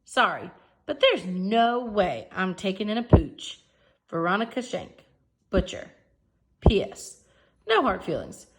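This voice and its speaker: A woman's voice: mid-range voice, sing-song, slow pace, pauses often, rough recording. A woman voicing a blunt, no-nonsense female butcher in a storybook, brusque and firm.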